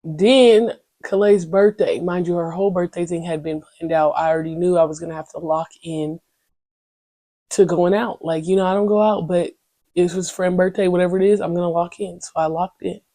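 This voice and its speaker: A woman's voice: on the low side, steady tone, normal speed, mellow, no room sound. A young woman hosting her own podcast, chatting candidly in a slangy, rambling way full of "you know what I'm saying.". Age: young adult. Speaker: podcast host. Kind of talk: casual solo podcast chat.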